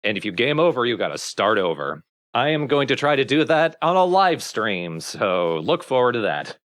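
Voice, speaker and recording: A man's voice: medium pitch, big pitch swings, normal speed, fairly bright tone, dry recording. A man hosting a let's play, chatting casually about games in a wry, bluntly critical way. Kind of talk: casual gaming commentary with friends.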